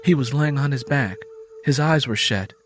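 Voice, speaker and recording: A man's voice: mid-range voice, moderate pace, a bit bright. A man reading a dramatic audiobook story as its narrator, in scripted prose.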